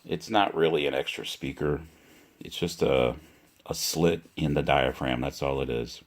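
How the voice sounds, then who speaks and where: A man giving a casual, unscripted product demonstration, speaking deliberately and repeating himself for emphasis. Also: a bass voice. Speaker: product reviewer.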